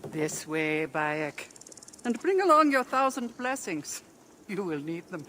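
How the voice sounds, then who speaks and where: A woman's voice: lowish voice, big pitch swings, slow pace, a bit bright, slight background noise, exaggerated voice. An actress playing a proud, sardonic woman of authority in a dramatic video game. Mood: cutting, sardonic.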